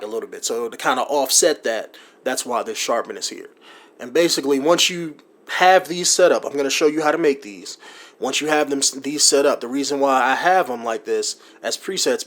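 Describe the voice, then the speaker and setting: A man explains his video-editing workflow in a loose, conversational tutorial. His pitch is ordinary for a man. He rambles and fills pauses with ums and you-knows, as if talking over a screen recording. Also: a bit bright. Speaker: gaming YouTuber explaining his editing setup. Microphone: USB desktop mic.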